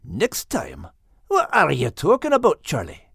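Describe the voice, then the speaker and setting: A man voicing an earnest, grateful Scottish dog in a children's animal story, folksy and animated. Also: fairly high pitch, unhurried, creaky, breathy edge, no room sound, clean studio sound, pitched above the performer's own voice, exaggerated voice. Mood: puzzled.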